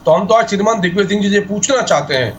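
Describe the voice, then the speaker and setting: A male political spokesman with a high voice, debating on television over slight background noise. He is combative and hammers the same accusations repeatedly. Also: racing, lo-fi sound.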